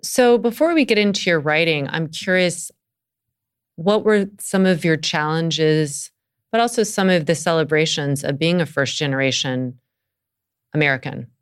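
A woman interviewer puts curious, measured questions to her guest. Her voice is low for a woman and notably smooth and clean.

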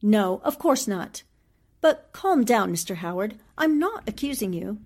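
An actress playing a shrewd, confident newspaperwoman sleuth in a 1940s-style radio mystery, deliberate and probing. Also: mid-range voice, big pitch swings, a little mellow. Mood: challenging, calming.

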